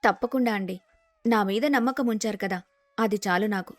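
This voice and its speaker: A woman playing a sensible, respectful young daughter-in-law who talks calmly and reassuringly in a dramatized story. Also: medium pitch, normal speed, dry recording, close to an everyday voice. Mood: polite, reassuring. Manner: polite, calm, reassuring.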